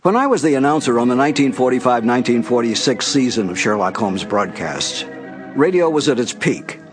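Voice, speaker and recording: An older man hosting with a scripted, nostalgic introduction, telling it in a measured way with deliberate emphasis. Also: medium pitch, expressive, music in the background. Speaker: announcer and host.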